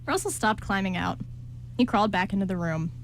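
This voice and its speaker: A woman's voice: medium pitch, expressive, fairly quick. A woman reading scripted story narration for an amateur radio play. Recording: clearly noisy amateur recording.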